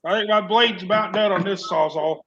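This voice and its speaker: High-voiced man on dull lo-fi audio. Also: fairly quick, a bit bright, slight background noise. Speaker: co-host on a livestream. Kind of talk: casual livestream chat.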